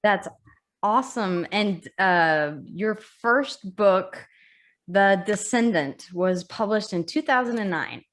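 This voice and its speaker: A woman's voice: medium pitch, animated, takes it slowly. A woman hosting an author interview, upbeat and stumbling over repeated words. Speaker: interview host.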